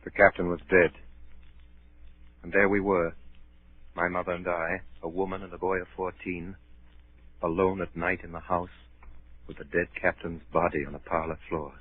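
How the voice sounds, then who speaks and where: An actor playing a grown man who gravely recounts his boyhood adventure, narrating in 1930s radio drama style. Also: low voice, little pitch movement, normal speed, long pauses. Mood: grave, suspenseful. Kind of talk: 1930s radio acting, dramatic first-person storytelling. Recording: muffled, noisy 1930s radio broadcast.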